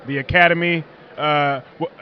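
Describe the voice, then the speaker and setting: Brief: talkative male interviewer, a bit high-pitched, joking, muffled outdoor sound. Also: fairly even intonation, normal speed, a little hiss or hum. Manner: chatty, joking, rambling questions.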